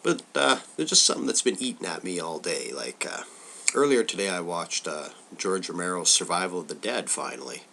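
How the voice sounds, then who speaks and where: A low-voiced man talks through a cold, his nose plugged up, in a loose, rambling way with plenty of filler words and casual swearing. His tone carries a forceful, bright edge, and a faint hiss sits behind him. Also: lively intonation, brisk, creaky. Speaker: horror film reviewer. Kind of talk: casual rambling film review.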